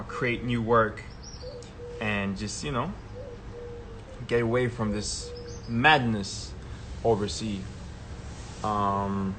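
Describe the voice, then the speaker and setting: A young man hosting a casual live stream, talking in a relaxed way and often checking in with "right?" and "yeah?". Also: fairly low pitch. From Germany. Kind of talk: casual livestream chat. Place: outdoors at a travel spot. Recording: noisy background ambience.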